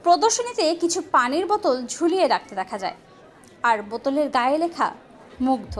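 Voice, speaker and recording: A woman's voice: high voice, big pitch swings, a little fast. A woman reading a scripted news narration as a reporter. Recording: faint music behind voice. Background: faint background music.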